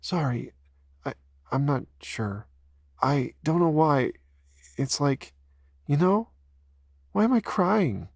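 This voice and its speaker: A man's voice: animated, slow pace, vocal fry, long pauses, darkish tone, no room sound, studio quality, exaggerated voice. A man voicing an emotional young woman who is crying and unsure of herself. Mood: tearful. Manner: shaky, rising into higher pitch. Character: emotional young woman, tearful and self-doubting.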